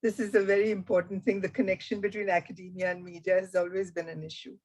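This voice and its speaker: A woman moderating a webinar over a video call, briskly reading out audience questions to the panel. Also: fairly low pitch, little pitch movement, a little mellow.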